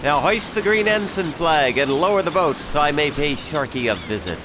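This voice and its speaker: An actor playing a vengeful, brooding pirate captain in an audio drama, measured and commanding in manner. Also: male voice, fairly high pitch, big pitch swings, noisy background. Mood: grimly eager.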